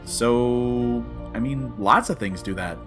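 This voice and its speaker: A man's voice: medium pitch, slow pace. An actor playing a wry, skeptical male Time Lord adventurer in a sci-fi comedy audio drama, with a dry, sardonic delivery. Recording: loud music under the dialogue.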